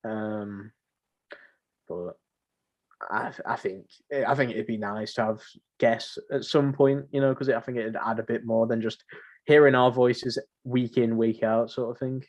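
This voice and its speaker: Male podcast co-host, medium pitch, unscripted and rambling, slangy and joking. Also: fairly even intonation, measured pace, a little mellow, dull audio. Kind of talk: unscripted casual podcast chat.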